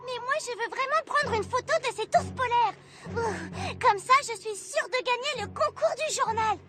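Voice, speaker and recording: A woman voicing an eager, headstrong young girl in a French cartoon dub, excitable and insistent. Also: very high voice, normal speed, over loud music. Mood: determined, bossy.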